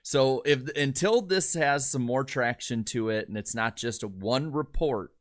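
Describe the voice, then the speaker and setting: A man of middle pitch speaks bluntly on a casual racing podcast, sprinkling his opinions with hesitant fillers. His mic sounds dry and close, with no room behind him. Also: measured pace, no echo. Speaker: podcast co-host. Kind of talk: casual chat.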